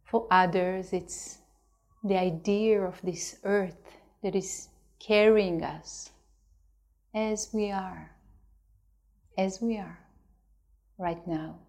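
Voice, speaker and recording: A woman teaching a guided meditation, speaking gently and unhurriedly with long pauses between phrases. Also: mid-range voice, fairly even intonation, gravelly.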